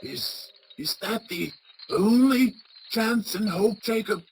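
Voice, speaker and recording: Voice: medium pitch, lively intonation, takes it slowly, a little mellow. An actor playing a crotchety, frightened old male miser in a radio play, stammering and blustering as he speaks. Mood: anxious. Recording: clearly noisy track with ambience.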